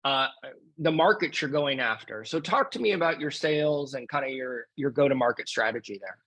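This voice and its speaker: A man's voice: mid-range voice, fairly quick, fairly bright tone, poor audio. A man on an investor panel asking a founder probing questions in a casual, conversational way. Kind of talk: questioning a pitch.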